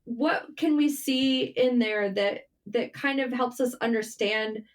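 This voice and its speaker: A woman's voice: a little high, takes it slowly. A woman talking casually and candidly as an interview guest, telling stories in an informal, mildly sweary way. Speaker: interview guest, writer and journalist. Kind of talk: casual interview conversation, storytelling.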